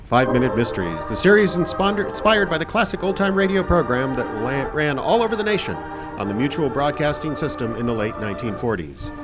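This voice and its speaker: A man's voice: mid-range voice, very animated, fairly quick, loud music behind the voice. A man announcing a live radio show in scripted old-time radio style.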